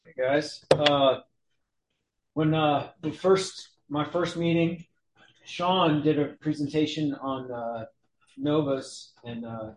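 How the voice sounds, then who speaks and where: A man's voice: medium pitch, darkish tone, roomy sound, slight background noise, poor audio. A man introducing a guest speaker at a club meeting, hesitant and full of uhs.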